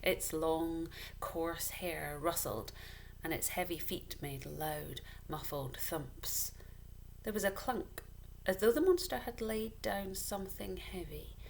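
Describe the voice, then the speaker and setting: A woman reading a children's story aloud, deliberately and with dramatic pauses. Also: low voice, creaky, slight background noise. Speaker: story reader.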